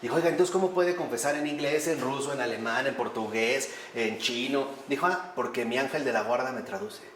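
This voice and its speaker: A man teaching a lively lecture on faith, often posing rhetorical questions to his listeners. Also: mid-range voice, a little fast, slight background noise. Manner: animated, rhetorical questions to the audience. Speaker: priest teaching a class. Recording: echoey room with faint ambience.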